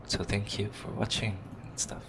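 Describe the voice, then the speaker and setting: A man's voice: lowish voice, little pitch movement, normal speed, a little hiss or hum. A man with a Dutch accent, rambling casually and searching for words while commentating his own game. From Netherlands. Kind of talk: casual let's play commentary. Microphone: desk microphone recorded on computer. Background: game music.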